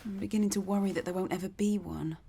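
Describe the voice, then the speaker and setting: Young woman acting as pub cook, mid-pitched, good-natured, independent, natural radio soap. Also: fairly even intonation, quick pace, gravelly, warm tone, a little hiss or hum, lightly acted. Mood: intimate, worried. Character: good-humoured, self-reliant young English pub cook.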